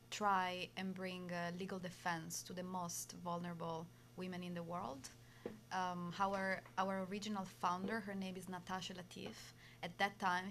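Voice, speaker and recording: A woman's voice: on the low side, a bit bright. A woman from Italy with an Italian accent, a nonprofit co-founder speaking as an interview guest in hesitant speech full of fillers.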